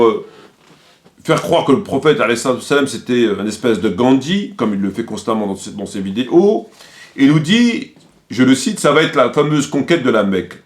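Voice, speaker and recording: A man delivering critical commentary, quoting sources didactically and repeating his points for emphasis. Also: mid-range voice.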